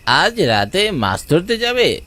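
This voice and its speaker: A man's voice: sits high, sing-song, takes it slowly, pushed and bright, exaggerated voice. A man playing a village fisherman, the younger companion in a cartoon story, in acted dialogue. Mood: eager, urging. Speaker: supporting character.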